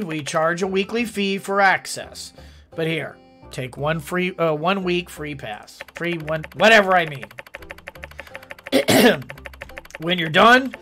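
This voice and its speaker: A man voicing a gruff, burly male mining boss with a husky, gravelly voice. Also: fairly high pitch, bright, forceful tone, heavily acted.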